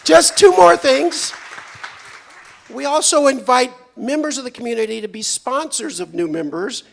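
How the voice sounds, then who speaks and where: A hoarse man speaks from the stage of a church service, upbeat and chatty as he shares membership news. His voice sits high and has a gravelly edge. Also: moderate pace, a bit bright, some chatter behind. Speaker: membership speaker at a spiritual center. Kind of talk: church announcements.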